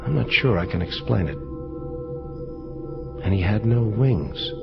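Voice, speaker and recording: A man's voice: fairly low pitch, animated, unhurried, vocal fry, muffled recording, loud music behind the voice. An actor playing a world-weary male angel detective who narrates his case in hardboiled audio drama style. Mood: reflective.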